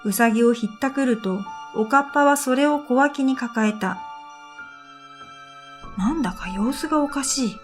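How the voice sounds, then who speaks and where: A woman reading a scripted first-person story in a reflective, measured way, as narrator of an audio drama. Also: sits high. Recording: background music under the voice. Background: music.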